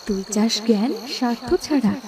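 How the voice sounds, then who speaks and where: A woman's voice: fairly high pitch, a little fast, mellow, close to an everyday voice. Woman as a distant, benevolent magic mirror spirit. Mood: solemn, benevolent. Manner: solemn, pronouncing blessings. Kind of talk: children's cartoon fairy-tale acting. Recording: distant, noisy night ambience with insects.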